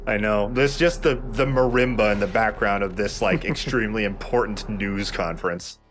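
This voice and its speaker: A man's voice: fairly low pitch, moderate pace, fairly bright tone, a little hiss or hum. A man co-hosting a let's play with casual, informal commentary, explaining things and joking along. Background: game music.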